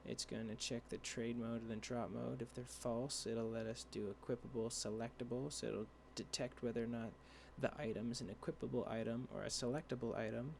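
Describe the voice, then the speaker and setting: A young man talks through a screen-share coding tutorial, stopping and restarting often and padding his sentences with fillers. His voice is dry and gravelly at an ordinary pitch. Faint room hum sits underneath. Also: steady tone, a little mellow. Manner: rambling, halting with many fillers and restarts. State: dry voice, clearing throat. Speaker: tutorial creator.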